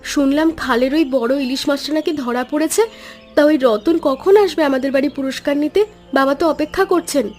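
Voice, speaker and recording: A woman playing a landlord's concerned, fair-minded young daughter in an animated children's story, questioning and emphatic. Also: high-pitched, normal speed, soft background music, lightly acted.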